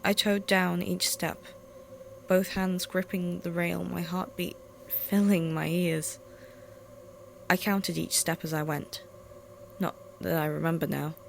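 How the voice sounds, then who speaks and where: A woman narrates a first-person scary story in a lowish voice full of creaky fry. The amateur recording carries faint noise. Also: little pitch movement, slow, low-quality recording. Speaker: reader of a horror story.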